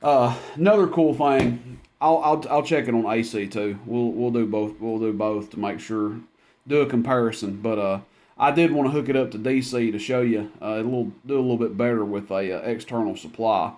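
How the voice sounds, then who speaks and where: A man's voice: mid-range voice, normal speed, a little mellow. A man giving a casual hands-on equipment demonstration in a plainspoken, folksy, matter-of-fact way. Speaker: CB radio seller demonstrating equipment.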